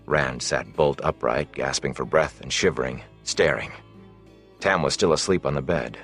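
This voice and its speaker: A man reading a fantasy audiobook as its narrator, in a measured, deliberate, dramatic storytelling delivery. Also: very deep voice, fairly bright tone, soft background music.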